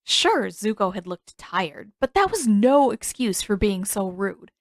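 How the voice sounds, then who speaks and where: A woman's voice: mid-range voice, very animated, a little slow, no echo. Woman narrating fiction, close and dry. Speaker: narrator and author. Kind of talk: expressive scripted fiction narration.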